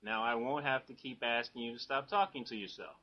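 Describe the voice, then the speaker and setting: A man playing a stern, sarcastic high school teacher in an amateur audio drama, dry and formal. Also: brisk, dull audio, slight background noise, close to an everyday voice. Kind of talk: amateur radio drama acting.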